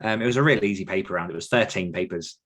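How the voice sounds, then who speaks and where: British male podcast guest, lowish voice, conversational storytelling, chatty and self-effacing. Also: very fast, a bit bright. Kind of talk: casual personal anecdote in conversation.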